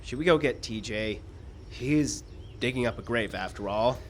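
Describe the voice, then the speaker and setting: A man playing a hesitant but principled screenwriter in a live radio play, speaking in a halting, earnest way. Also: mid-range voice, lightly acted. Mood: hesitant, subdued. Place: live radio show in a park. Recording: live stage recording with background noise.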